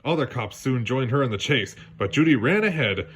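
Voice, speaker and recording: A man narrating a children's read-along story in a lively storytelling manner. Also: medium pitch, a little fast, husky. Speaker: storybook reader.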